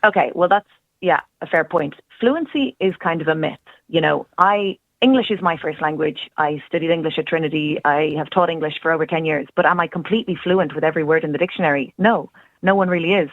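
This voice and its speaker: A woman's voice: deep voice, brisk, no echo, phone-quality sound. An Irish woman, a language teacher and guest, talking earnestly and eagerly in long runs on a radio chat show. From Ireland. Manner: earnest and enthusiastic, talks in long flowing runs. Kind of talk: casual radio interview.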